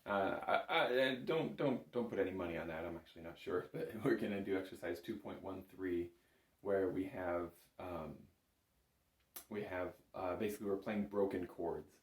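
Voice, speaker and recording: A man's voice: deep voice, normal speed. A man giving an informal, conversational music lesson as an instructor, explaining exercises in an encouraging, self-effacing way. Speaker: bagpipe instructor. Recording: roomy, echoing indoor sound.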